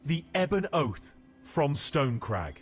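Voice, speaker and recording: A man's voice: fairly high pitch, big pitch swings, slow, slightly rough, faint music behind, exaggerated voice. A man playing a wry, self-preserving guild assassin in a fantasy audio drama. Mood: uneasy.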